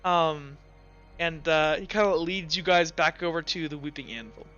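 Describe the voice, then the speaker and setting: A man with a fairly high, bright voice narrates a tabletop game as game master. He is casual and halting as he improvises. The audio is slightly dull, with faint music behind. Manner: halting, conversational.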